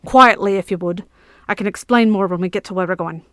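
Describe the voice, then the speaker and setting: A woman reading aloud, voicing a large stern male knight who gives gruff, sinister commands. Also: mid-range voice, fairly quick, a bit bright, no room sound, clean studio sound, close to an everyday voice. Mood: menacing.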